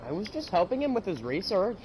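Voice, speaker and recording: A man's voice: high-pitched, very animated, brisk, low-quality recording. Guy streaming a game, chatty reactions, voice jumps up and down, game music blaring behind him. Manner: reacts and talks back to the game. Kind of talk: casual livestream gameplay commentary. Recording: loud game audio behind voice. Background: game music and effects.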